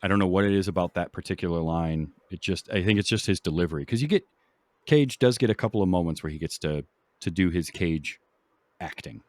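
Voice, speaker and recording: Deep voiced guy podcast host, rambly and into it, chatting casually, dry recording. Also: brisk, a little mellow, dry recording. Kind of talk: casual film discussion.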